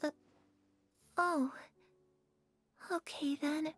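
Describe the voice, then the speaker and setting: A woman's voice: sits high, steady tone, extremely slow. A woman voicing a sweet, trusting, inquisitive young girl in a video game, with a small childlike voice and frank, innocent manner. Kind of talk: animated video game voice acting. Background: background music.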